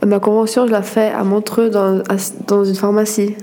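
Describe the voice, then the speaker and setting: A woman's voice: mid-range voice, level tone, normal speed, dark tone. A woman being interviewed as a student, answering in short, hesitant replies. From Switzerland. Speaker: interviewee, student in a work placement.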